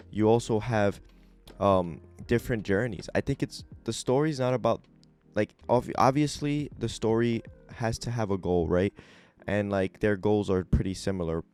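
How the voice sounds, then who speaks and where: A young man hosting a casual review video, talking in a relaxed, rambling way with many fillers, his voice a little raspy because he just woke up. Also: deep voice. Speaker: anime and manga video host.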